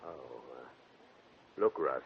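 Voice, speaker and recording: An actor playing a puzzled, halting small-time agent, a man eager to please, in a 1940s radio drama. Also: rumbling low, expressive, breathy edge, heavily acted. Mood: hesitant, puzzled. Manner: halting, unsure. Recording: tinny vintage 1940s radio recording.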